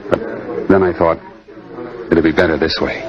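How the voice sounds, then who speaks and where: An actor playing a frustrated, insistent suitor in old-time radio drama style, earnest with a bitter edge. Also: male voice, very deep voice, little pitch movement, measured pace. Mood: uneasy. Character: frustrated, insistent suitor pressing his sweetheart to leave. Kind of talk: 1940s-50s radio drama acting. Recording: dull old radio recording, crowd chatter behind.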